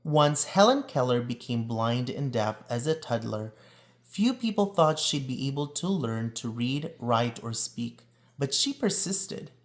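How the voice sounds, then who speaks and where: Male read-aloud presenter, children's nonfiction storybook, lively varied intonation, emphatic delivery. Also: medium pitch, unhurried.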